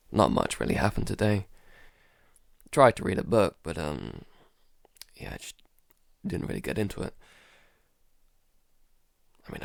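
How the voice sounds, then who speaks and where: A man playing an anxious, sleepless recluse recording a halting, weary diary in an audio drama. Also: creaky, no room sound, clean studio sound, heavily acted. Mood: listless. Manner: halting, sighing, low-key and weary. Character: Jason, anxious, sleepless man barricaded at home, keeping a diary. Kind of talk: audio drama, first-person diary monologue.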